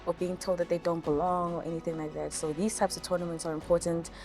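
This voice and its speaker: A woman's voice: lowish voice, little pitch movement, quick pace. A woman gamer speaking in a documentary interview. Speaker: competitive gamer. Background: background music.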